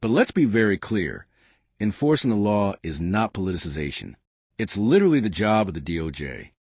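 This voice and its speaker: A man's voice: lowish voice, big pitch swings, a little fast, a little mellow, slightly rough, no echo. A man delivering scripted political commentary in an emphatic, partisan way.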